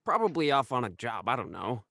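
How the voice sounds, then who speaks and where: A man's voice: mid-range voice, sing-song, fairly quick, vocal fry, bright, forceful tone, full character voice. A man playing a suspicious, hostile underground dealer in an audio thriller, curt and agitated. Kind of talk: audio drama acting, present-day thriller.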